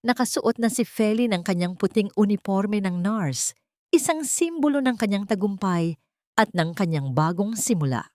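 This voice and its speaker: A woman's voice: mid-range voice, animated, moderate pace, a little mellow, no echo, studio quality. A woman with a Filipino accent narrating a dramatic scripted story as an audiobook reader.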